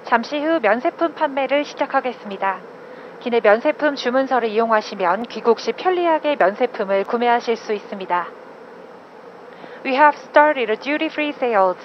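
A woman's voice: fairly high pitch, lively intonation, moderate pace. A woman working as a flight attendant, reading a formal scripted announcement over the cabin PA. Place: aircraft public address system. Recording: muffled cabin PA with noise. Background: cabin noise.

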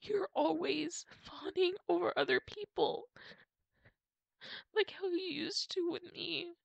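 A woman playing a heartbroken young girlfriend in an ASMR roleplay, crying and pleading in halting phrases, often slipping into a breathy whisper. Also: very high voice, no echo, highs cut off, exaggerated voice. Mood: hurt, tearful. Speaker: roleplay voice actor. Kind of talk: intimate ASMR roleplay acting.

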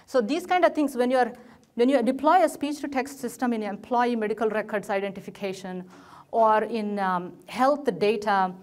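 A woman's voice: fairly high pitch, moderate pace, darkish tone. Woman with an Indian accent giving a talk. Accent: Indian English. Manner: frequent fillers and restarts, gestures at slides. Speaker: conference speaker. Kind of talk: technical presentation. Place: lecture room, presenting in front of a projected slide.

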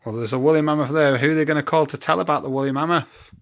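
A man's voice: fairly high pitch, racing. A man talking casually to a young child in a bedroom, asking prompting questions and encouraging the answers. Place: small bedroom, indoors.

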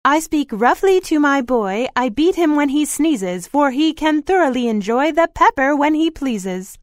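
A haughty, rude noble Duchess from a storybook, put on by a man. She sounds shrill and dismissive in a very high voice. Dry recording. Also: animated, a little slow, no room sound. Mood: sing-song.

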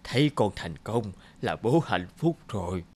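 A man voicing a gentle, humble middle-aged father in a cartoon, speaking a little hesitantly. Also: lowish voice, animated, measured pace, no echo. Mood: gentle, happy. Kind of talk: cartoon voice acting. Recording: music bed under the voice.

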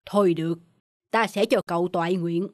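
A woman voicing a kindly, solemn old male deity who speaks in a measured, admonishing way in a cartoon fairy tale. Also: on the low side, steady tone, dry recording, clean studio sound, close to an everyday voice. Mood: solemn, benevolent.

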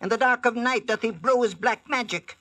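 An actor playing a malevolent old court magician with theatrical, archaic delivery in 1940s-style radio drama. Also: male voice, very high-pitched, a little fast, bright, forceful tone, heavily acted. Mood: accusing.

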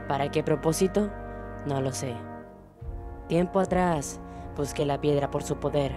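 A woman's voice: low-pitched, a little slow. A woman with a Latin American Spanish accent voicing an ancient, patient alicorn princess in a cartoon comic fan dub, speaking formally and solemnly. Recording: music under the voice.

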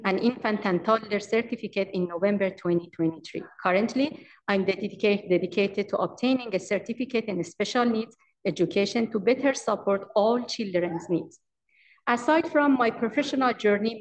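Woman, 40s, Iranian accent, mid-pitched, halting read-aloud presenter at a podium. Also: poor audio. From Iran. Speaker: daycare owner and manager presenting. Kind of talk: prepared presentation at public meeting. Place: large council chamber. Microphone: podium microphone.

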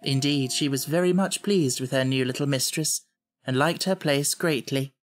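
A man's voice: medium pitch, moderate pace. A man reading a children's story as scripted audiobook narration. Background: music.

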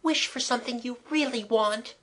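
A woman's voice: on the high side, moderate pace, a bit bright, pitched above the performer's own voice. A woman voicing a sensible, eager and slightly bossy schoolgirl in a storybook, with a coaxing manner.

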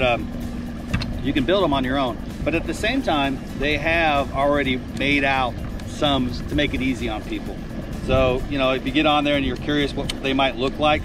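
Chatty middle-aged man fishing from a boat. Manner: enthusiastic, chatty salesman pitch with fillers. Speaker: fishing guide and channel host. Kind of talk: outdoor fishing vlog, product talk. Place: outdoors on a boat on a lake. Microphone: action camera on the boat.

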